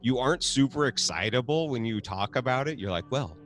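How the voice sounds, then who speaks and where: A man's voice: mid-range voice, lively intonation, fairly quick, fairly bright tone, faint music behind. A man hosting a casual video chat, laid-back and laughing often, swearing now and then. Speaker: host.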